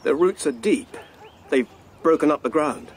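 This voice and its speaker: A man's voice: fairly high pitch, animated, unhurried, husky. An actor playing a hesitant but eager male rabbit builder in a radio drama, speaking tentatively.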